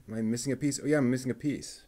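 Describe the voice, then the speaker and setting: A man's voice: mid-range voice, fairly even intonation, very fast, mellow. A man building a model kit on a live stream, muttering to himself and chatting casually with viewers as he works.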